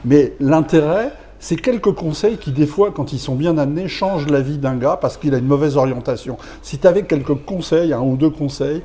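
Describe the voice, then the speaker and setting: A man's voice: a little high, normal speed, hardly any pauses, warm tone. A man who hosts interviews for a business association, asking long, winding questions full of fillers.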